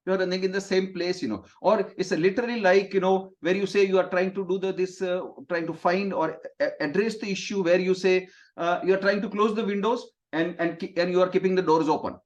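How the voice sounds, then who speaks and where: A man's voice: high-pitched, steady tone, a little fast, few pauses. Rambling Indian man, dull call audio. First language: Gujarati. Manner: rambling, heavy "you know" fillers and restarts. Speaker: moderator. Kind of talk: webinar panel moderation. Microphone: video call.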